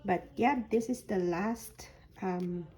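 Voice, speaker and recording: A woman with a hoarse voice that is recovering from a sore throat, showing a handmade journal folio in a soft, unhurried way with frequent ums. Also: medium pitch, low-quality recording. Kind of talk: slow, casual craft flip-through.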